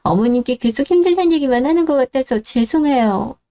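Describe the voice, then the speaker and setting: A woman's voice: high voice, expressive, a little fast, warm tone. A woman voicing a polite, deferential middle-aged daughter-in-law in a radio drama who speaks apologetically.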